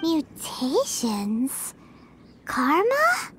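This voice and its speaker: Game casting: childlike fairy sidekick, extremely high squeaky voice, lively melodic swings, unhurried pace, excitable temper. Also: female voice, background noise, exaggerated voice. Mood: puzzled. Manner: exclamatory, refers to self in third person. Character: small excitable floating fairy girl companion, friendly and dramatic. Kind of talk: video game voice acting. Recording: loud game music behind.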